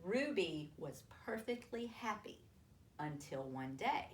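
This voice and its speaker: A woman reading a children's storybook aloud to families, with expressive, deliberate delivery and drawn-out emphasis. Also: on the low side. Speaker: school superintendent reading a picture book aloud to families. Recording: roomy, distant mic with faint noise.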